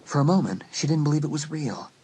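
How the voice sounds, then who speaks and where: A man narrating a dramatised horror audiobook with suspenseful, deliberate phrasing. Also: on the high side, expressive, darkish tone, a little hiss or hum.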